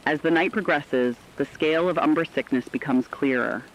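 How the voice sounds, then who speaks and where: A man playing a grave radio news reporter, delivering a formal, measured emergency bulletin in a scripted drama. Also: on the high side, lightly acted, rough recording. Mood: grave, urgent.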